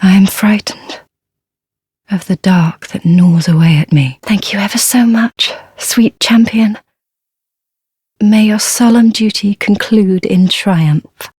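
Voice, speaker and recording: A timid blind young nun from a dark fantasy game, super breathy and whispery, slow humble delivery. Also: female voice, medium pitch, fairly even intonation, dry recording, clean studio sound. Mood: grateful. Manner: halting, humble, formal, reverent.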